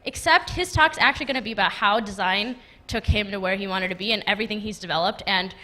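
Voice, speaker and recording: A woman introducing a guest speaker at an event, brisk and warm. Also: mid-range voice.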